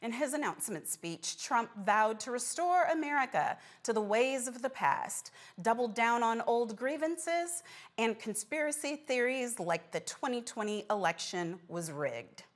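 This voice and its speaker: A woman's voice: a little high, animated, measured pace. A woman hosting a news program, reading scripted news and putting questions to a guest. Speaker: news host.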